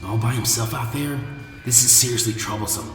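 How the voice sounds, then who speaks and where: A man's voice: medium pitch, fairly quick, a bit bright, reverberant, big-room sound, pitched below the performer's own voice, close to an everyday voice. A man voicing a brainy, grumbling young male ninja in a story reading, muttering in a weary, grudging way. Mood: tense inner thought. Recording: music behind the voice.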